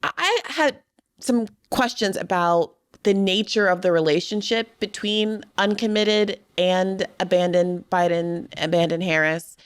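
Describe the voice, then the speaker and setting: A woman hosting a political interview, framing long, carefully qualified questions in a deliberate way. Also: medium pitch, clear, resonant tone. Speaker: podcast host, interviewer.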